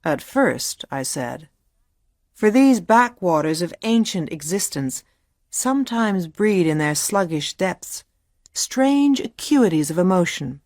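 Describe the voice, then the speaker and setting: Low, expressive literary lady narrator. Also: no room sound, studio quality, full character voice. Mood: wry, brooding. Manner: measured, literary, deliberate. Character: Edith Wharton as wry, literary lady narrator. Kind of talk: dramatic scripted narration for radio.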